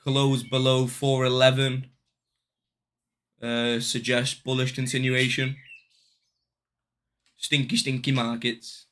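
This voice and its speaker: Bright-voiced young man streaming. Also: mid-range voice, flat delivery, takes it slowly, long pauses. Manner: slangy, swearing, banters with viewers. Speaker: day trading livestreamer. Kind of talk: casual live-stream chat.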